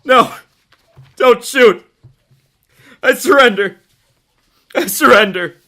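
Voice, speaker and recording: A man's voice: extremely high pitch, slow pace, heavily acted. An actor playing a panicked male criminal in a radio mystery, pleading frantically. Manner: frantic, shouting.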